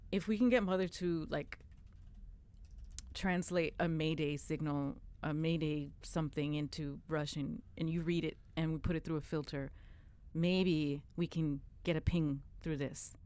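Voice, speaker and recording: A woman playing a tabletop role-playing game, speaking as a comms tech and thinking out loud as she plans. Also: low voice, fairly even intonation, a little slow, clear, resonant tone. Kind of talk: in-character roleplay, casual.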